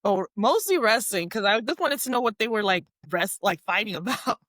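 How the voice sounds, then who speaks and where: A woman's voice: medium pitch, moderate pace, no echo, studio quality. A woman in her twenties, born in Haiti and raised in South Florida, chatting as an interview guest and telling stories with lots of "like" fillers. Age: 20s. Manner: chatty, animated storyteller, many "like" fillers. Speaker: guest, professional wrestler. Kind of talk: casual interview chat.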